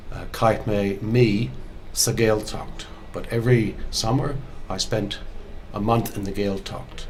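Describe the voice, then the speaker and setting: An older man with a Belfast accent tells his own story slowly, giving each phrase in Irish and then in English, with some hesitations. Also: on the low side, slight background noise, rough recording. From Northern Ireland. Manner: measured, unhurried, with hesitations. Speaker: museum storyteller.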